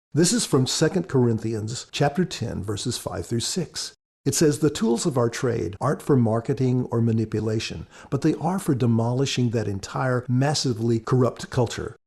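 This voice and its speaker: A man with a husky voice, an author giving earnest, measured, reflective commentary on a story. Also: medium pitch, mellow. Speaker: author and podcast guest commentator.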